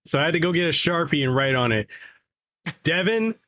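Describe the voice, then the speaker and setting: A man in his mid-thirties telling a story in casual podcast chat, halting and repeating words. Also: on the high side, lively intonation, sharp tone, a little hiss or hum. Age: 30s. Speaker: podcast co-host.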